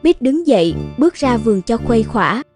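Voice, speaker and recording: A woman narrates a scripted children's cartoon story. Her voice is fairly high, and children's music plays clearly behind her. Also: big pitch swings, brisk.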